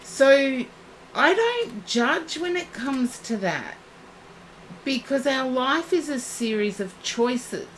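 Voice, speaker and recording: A woman reads for viewers on a live stream, speaking slowly and in a calm, reassuring way. Her voice sounds a little high and has started to go hoarse. A faint hum of room noise sits behind her. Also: poor audio. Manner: soothing, reassuring, unhurried. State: losing her voice. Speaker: psychic medium streamer. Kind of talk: live-stream psychic reading.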